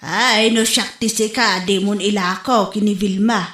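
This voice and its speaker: A woman's voice: medium pitch, fairly even intonation, a little slow, fairly bright tone, close to an everyday voice. A woman with a Filipino accent playing a frank, gossipy older village woman giving advice in an audio drama. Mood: frank, warning. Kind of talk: amateur audio drama dialogue. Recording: roomy echo.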